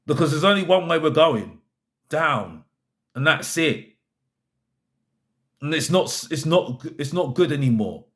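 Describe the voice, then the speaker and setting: Male football fan vlogger, slightly high voice, fed up and emphatic, hammering points through repetition. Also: expressive, a little slow, long pauses, fairly bright tone. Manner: emphatic, repeats phrases for effect; exasperated, fed up. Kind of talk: opinionated fan rant to camera.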